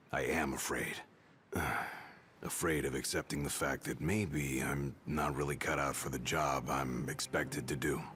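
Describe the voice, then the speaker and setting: A man's voice: very deep voice, a bit bright, a little hiss or hum, heavily acted. An actor playing a brawny young male royal bodyguard who talks bluntly and cockily. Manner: gruff, blunt, cocky. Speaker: video game character. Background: game score music.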